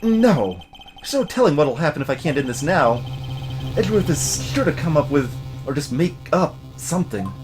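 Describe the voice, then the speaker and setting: A man's voice: a little high, sing-song, moderate pace. A man voicing an earnest, combative young male defense lawyer who gets easily rattled, in a video game. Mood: anxious, worried. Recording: music playing behind the voice.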